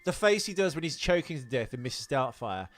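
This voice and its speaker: A man's voice: medium pitch, big pitch swings, a little fast. A man co-hosting a podcast in casual film chat, opinionated and wisecracking in a dry way. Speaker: podcast co-host.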